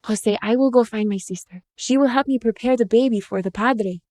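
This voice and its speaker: A woman voicing a tender, devout, sorrowful young mother in an audio short story, gently mixing Spanish phrases into English. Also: a little high, fairly quick, no echo, clean studio sound, close to an everyday voice. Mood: subdued.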